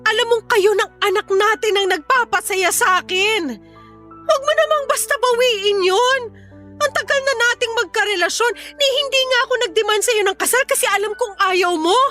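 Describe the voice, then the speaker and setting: A woman's voice: very high-pitched, moderate pace, sharp tone, faint music behind. A woman acting in a radio drama as a hurt young mother, pleading emotionally and reproachfully with her partner. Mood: hurt, confrontational.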